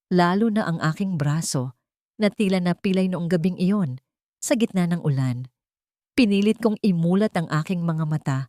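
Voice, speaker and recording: A woman narrates a dramatic story in a fairly low register. She reads scripted first-person prose as a storyteller, and the sound is very dry, with hardly any room around it. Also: a little mellow, dry recording.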